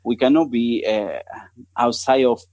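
Argentine missionary, halting, searching for words. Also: male voice, medium pitch, a little mellow, no room sound. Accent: Argentine Spanish. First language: Spanish. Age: 30s. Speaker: missionary guest. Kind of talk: podcast interview.

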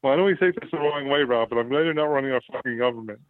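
A man's voice: mid-range voice, racing. A man in his fifties calling in to a radio show, earnest and agreeable, laughing often. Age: 50s. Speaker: caller, former co-host. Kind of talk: casual phone-in chat. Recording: tinny phone line.

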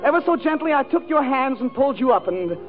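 A man's voice: extremely high pitch, animated, fast talker, phone-quality sound, voice pushed up. A man playing a melodramatic, gushing lover, sighing and repeating himself in a 1940s radio comedy monologue. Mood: gushing, yearning. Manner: overwrought, sighing, repeating phrases for effect. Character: melodramatic, gushing male lover reciting lost romance. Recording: thin vintage radio broadcast with music, audience.

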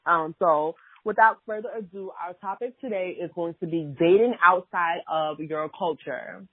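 Chatty woman podcast host, breathy, thin phone-like sound. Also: lowish voice, normal speed, dry recording. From Ghana (family), raised in America. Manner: chatty, filler-heavy, laughs easily. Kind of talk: casual chat.

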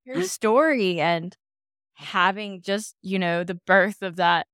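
A woman's voice: mid-range voice, expressive, measured pace, a bit bright, no echo, clean studio sound. A woman hosting a podcast, asking questions and responding with enthusiastic affirmations. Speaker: podcast host. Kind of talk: casual interview chat.

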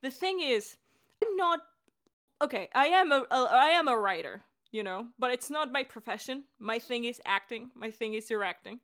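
A young woman hosting a casual podcast chat, talking in a bubbly, excitable and informal way. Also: on the high side, moderate pace. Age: young adult. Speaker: director and voice actor hosting a Q&A.

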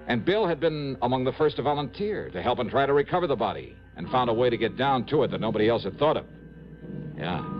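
An actor playing a dogged, suspicious American investigator in an old radio drama, with clipped, insistent delivery and musing hesitations. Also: male voice, on the low side. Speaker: lead actor and narrator of a detective radio drama. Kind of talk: 1950s-60s American radio drama acting. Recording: dull vintage radio recording with music.

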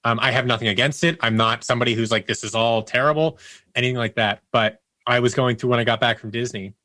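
A man's voice: medium pitch, fairly even intonation, fast, bright, forceful tone, no room sound. A man speaking as a podcast guest, telling personal anecdotes in a candid, casual way. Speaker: podcast guest, audio producer.

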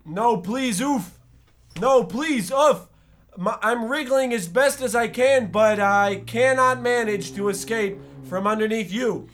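A man's voice: extremely high pitch, takes it slowly, fairly bright tone, heavily acted. A man playing a meek, bookish eleven-year-old boy in a wordy, theatrical parody of an old radio drama. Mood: dismayed.

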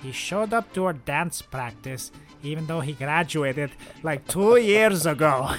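A man with a slightly high voice talks as a podcast co-host. He is casual and jokey, easily tipped into giggles, as if among close friends. Light music plays behind him. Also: animated, unhurried. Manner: giggly, breaking into laughter. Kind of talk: casual joking chat with friends.

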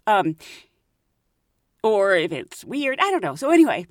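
A woman's voice: measured pace, no echo, clean studio sound. A middle-aged woman hosting a podcast, telling travel stories in a chatty way and laughing often. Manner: chatty, laughs often, lively rises in pitch. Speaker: podcast host, flight attendant. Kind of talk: casual storytelling.

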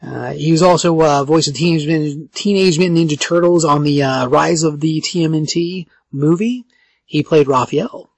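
Raspy man hosting a podcast, higher-pitched voice. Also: normal speed, no echo. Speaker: podcast host. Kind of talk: casual chat with a co-host.

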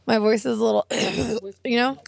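A woman guest on a podcast with a raspy voice, strained from allergies, talking softly and gently. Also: on the high side, fairly even intonation, normal speed. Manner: soft and gentle.

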